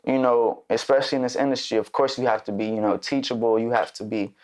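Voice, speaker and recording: A man's voice: medium pitch, quick pace. Laid-back young man, rambling studio chat. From Mexico, raised in Chicago. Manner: relaxed, rambling, many fillers and restarts. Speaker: musician guest. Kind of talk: casual interview chat.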